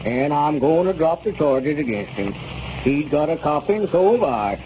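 An actor playing a sly, smooth-talking older country squire with an oily, ingratiating manner and a rural Arkansas drawl. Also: male voice, a little high, expressive, moderate pace, warm tone, slight background noise, lightly acted. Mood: smug. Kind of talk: 1930s radio comedy acting. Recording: muffled 1930s radio broadcast.

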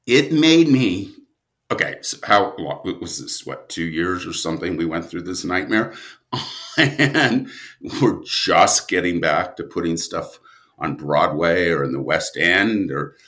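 A man speaking as an interview guest, a writer and director who answers conversationally in halting, deliberate, emphatic phrases. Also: low-pitched, lively intonation, a bit bright.

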